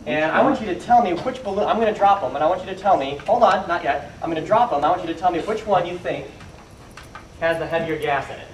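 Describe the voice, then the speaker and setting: A man's voice: fairly high pitch, fast talker. A man giving an informal hands-on science demonstration to a young audience, explaining and asking them questions. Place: indoor room with live audience. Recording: echoey room, distant noisy camera microphone.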